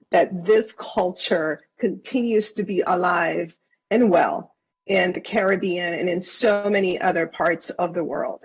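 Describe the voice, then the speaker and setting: A woman's voice: fairly low pitch, slightly rough, rough recording. A woman originally from Venezuela, a councilmember giving earnest, effusive remarks at a council session and often restarting her phrases. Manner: earnest, effusive, repeats words when she restarts. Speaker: county councilmember.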